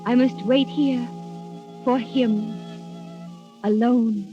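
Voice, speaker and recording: A woman's voice: on the high side, steady tone, extremely slow. An actress playing a dreamy young noblewoman in love, wistful and formal, in a 1930s radio drama. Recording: muffled vintage 1930s radio recording, faint music.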